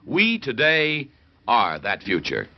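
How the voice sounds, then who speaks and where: A man's voice: medium pitch, wildly swooping pitch, slow, creaky. A man reading a scripted history talk as commentator, on a muffled, slightly noisy recording. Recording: muffled recording with faint noise.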